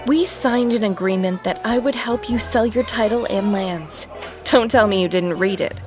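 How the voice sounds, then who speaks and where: A woman's voice: mid-range voice, normal speed, over music, natural voice. Woman acting a commanding, sardonic deputy mayor. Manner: crisp, authoritative, sardonic. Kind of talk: audio drama acting.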